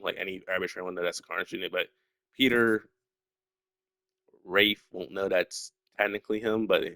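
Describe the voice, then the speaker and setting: A man's voice: sits low, pushed and bright, dry recording. A young man with a stutter, talking casually and at length as a solo video creator, full of fillers and restarts. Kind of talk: rambling casual monologue.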